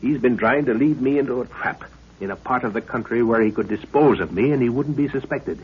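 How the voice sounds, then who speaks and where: An actor playing an authoritative middle-aged professor and adventurer in a 1930s radio drama, measured and commanding. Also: male voice, medium pitch, slightly rough. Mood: grave, explaining. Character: steady, authoritative male professor and adventurer, a father. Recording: muffled, hissy 1930s radio recording.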